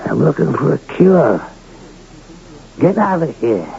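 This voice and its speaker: Radio drama casting: gruff, sickly gambler father, gravelly and slow, on a dull broadcast. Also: a little high, very animated, warm tone, heavily acted. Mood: suspicious, irritated. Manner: gruff, blunt, folksy. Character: ailing, gruff, luckless gambler rancher father. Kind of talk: 1980 radio drama acting.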